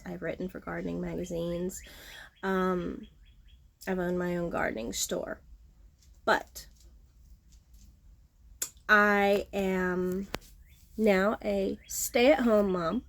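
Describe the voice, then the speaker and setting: A middle-aged woman with a nasal, sniffly voice, chatting casually on her home vlog and filling pauses with ums. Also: medium pitch, little pitch movement, vocal fry, a little hiss or hum. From Texas Gulf Coast. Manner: frequent ums, self-deprecating, chatty. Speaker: vlogger, horticulturist. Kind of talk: casual talking-to-camera vlog. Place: outdoors at home, front porch.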